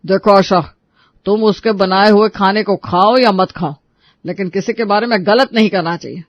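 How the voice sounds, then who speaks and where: Man acting a high-voiced, reasoning family man. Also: normal speed, no room sound, highs cut off. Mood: firm. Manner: placating, reasoning. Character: reasonable, pleading men of an Indian household. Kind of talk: dramatised story voice acting.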